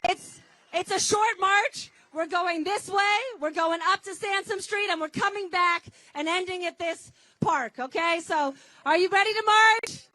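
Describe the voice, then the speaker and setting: A woman with a very high, bright voice gives an energetic speech at an outdoor rally and leads the crowd in shouted chants. Her voice sounds dry and close to the mic. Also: unhurried, dry recording. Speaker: march organizer.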